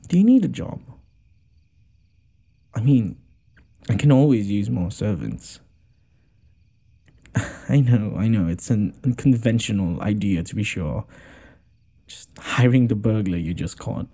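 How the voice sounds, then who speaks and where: Casting: male actor for a smitten, apologetic noble lord in a boyfriend roleplay; husky, lively intonation, halting speech with sighs. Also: on the low side, full character voice. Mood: tentative, amused. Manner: hesitant, stammering, sighing. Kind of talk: intimate boyfriend audio roleplay.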